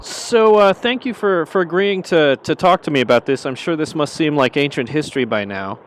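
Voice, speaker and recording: A man interviewing a guest, asking his questions in a hesitant, halting way. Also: a little high, animated, a little hiss or hum.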